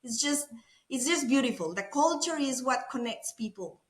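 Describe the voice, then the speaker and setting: A woman's voice: high voice, animated, moderate pace, roomy sound. A middle-aged woman from Costa Rica with a Costa Rican Spanish accent, talking effusively as an interview guest. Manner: enthusiastic, effusive, repeats words. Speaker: guest, food innovation executive. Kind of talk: conversational interview.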